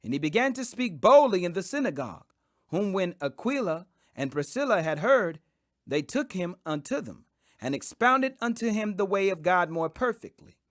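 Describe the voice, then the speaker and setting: A man's voice: high voice, very animated, moderate pace, fairly bright tone, no echo, clean studio sound. A man reading scripture as scripted audiobook narration, in an emphatic, dramatic manner. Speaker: scripture reader. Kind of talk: scripted audiobook narration of King James Bible.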